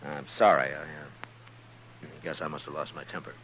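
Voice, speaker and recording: An actor playing a stern, weary frontier marshal with an American accent, terse and commanding, in old-time radio style. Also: male voice, rumbling low, flat delivery, normal speed, a bit bright. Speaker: actor in a western radio drama. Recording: noisy, humming vintage radio recording.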